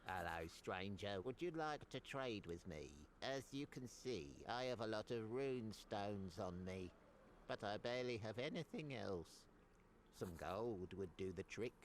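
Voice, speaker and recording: An actor playing a wry, weary hunchbacked merchant in a fantasy video game. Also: male voice, on the low side, expressive, a little slow, a little hiss or hum, low-quality recording. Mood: wry, inviting. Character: hunchbacked rune-stone trader, weary outcast, wry. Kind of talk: video game character dialogue.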